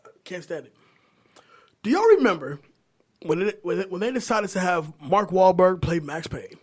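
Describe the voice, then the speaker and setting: Higher-pitched man, opinionated podcast talker. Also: lively intonation, moderate pace. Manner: opinionated, profane. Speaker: podcast co-host discussing movies. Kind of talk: casual chat among friends.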